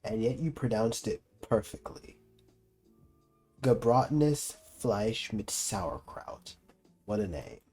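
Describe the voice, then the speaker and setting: A man's voice: lowish voice, lively intonation, slow pace, dark tone, soft background music, poor audio. A young man giving casual, slangy and joking commentary as he streams a game. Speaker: gaming streamer.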